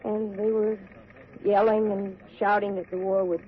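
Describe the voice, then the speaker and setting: An actress playing a weary young officer's wife in a 1940s radio drama, subdued, hesitant and earnest. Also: female voice, mid-range voice, level tone, measured pace, natural voice. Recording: tinny, noisy vintage radio recording.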